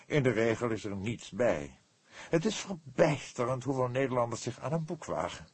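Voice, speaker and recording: A man's voice: medium pitch, husky, dry recording, pitched above the performer's own voice. A man voicing a pompous, precise, easily indignant book publisher in an audiobook, speaking in an emphatic, formal, affected way. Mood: emphatic, admiring.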